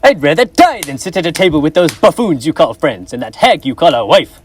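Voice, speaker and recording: A young man acting as a miserly, bitter old man in a school stage play, gruff and contemptuous. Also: on the high side, big pitch swings, fairly quick, dry recording. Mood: angry. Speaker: stage actor.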